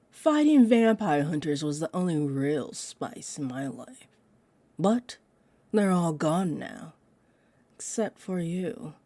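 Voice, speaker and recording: A woman acts out an ancient female vampire in a roleplay drama, with a low-pitched voice and slow, deliberate delivery. She is haughty and possessive, teasing her prey with amused condescension. The sound is close and very dry. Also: a little mellow, no echo, heavily acted. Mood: amused, nostalgic. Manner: haughty, unhurried, amused, drifting into breathy near-whispers. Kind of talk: roleplay audio drama monologue, addressed to listener.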